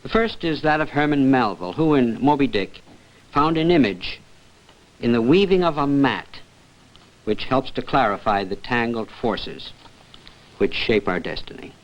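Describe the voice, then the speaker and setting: A man's voice: mid-range voice, sing-song. Formal male host on dull vintage broadcast sound. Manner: erudite, measured. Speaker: television program host. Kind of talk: formal scripted introduction. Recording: dull, lo-fi 1960s television sound.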